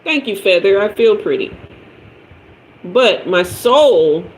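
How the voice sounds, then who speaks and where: A woman's voice: medium pitch, lively intonation, takes it slowly. A woman in her fifties talks loosely with her livestream viewers, her speech slangy and casual. Her voice sits in a middle range. It rings a little in a roomy space, with a faint hiss underneath. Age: 50s. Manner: loose, chatty, slangy and conversational. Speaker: tarot reader hosting a livestream. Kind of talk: casual livestream chat reading comments. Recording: roomy echo with faint noise.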